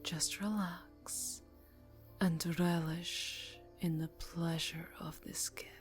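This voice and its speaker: A woman playing a seductive, predatory yet tender vampire countess in a whispery ASMR roleplay, breathy, intimate and coaxing. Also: lowish voice, level tone, drawn-out words. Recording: soft music behind the voice.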